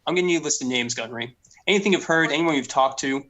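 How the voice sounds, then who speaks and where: A man's voice: on the high side, fast, pushed and bright. A man voicing a stern, officious older noblewoman investigator in a tabletop game, commanding and insistent.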